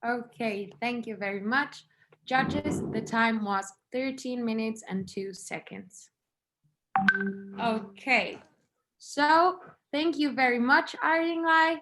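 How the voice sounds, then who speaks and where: A woman's voice: on the high side, slow, background noise. A woman moderating an online student competition over a video call, giving patient, encouraging instructions.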